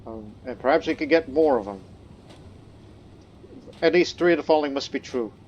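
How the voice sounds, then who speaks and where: A man's voice: on the high side, moderate pace, darkish tone, dull audio, a little hiss or hum. A man giving casual gameplay commentary, rambling with many fillers and restarts, sometimes talking as his in-game ruler. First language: not Croatian; studied Croatian as a second language. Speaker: let's play host. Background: faint game music.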